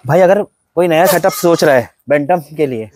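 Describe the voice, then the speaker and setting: A host making short agreeing replies and repeating prices while touring an outdoor goat pen. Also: male voice, fairly high pitch, moderate pace. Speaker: channel host. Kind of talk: casual interview. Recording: noisy outdoor farm recording.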